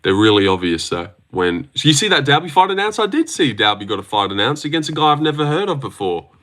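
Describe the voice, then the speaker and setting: A man's voice: mid-range voice, sing-song, fast, a bit bright. An Australian man hosting a casual livestream, answering viewer comments in a rambling, joking way with lots of fillers and restarts. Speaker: livestream host.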